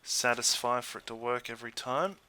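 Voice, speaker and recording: An Australian man giving casual, chatty let's play commentary in an easygoing way, thinking out loud as he goes. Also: mid-range voice, brisk. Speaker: gaming YouTuber.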